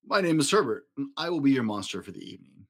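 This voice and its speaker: A man's voice: mid-range voice, very animated, quick pace. A man voicing a mild, bookish male monster from a children's storybook, formal and stammering, who breathes through his mouth. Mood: formal, eager. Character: Herbert, mild bookish male substitute monster, easily offended.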